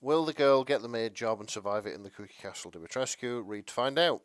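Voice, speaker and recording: A man's voice: medium pitch, animated, fast, dry recording, studio quality. A man co-hosting a podcast in casual comedic chat, sounding bemused and a little hesitant. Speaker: podcast co-host.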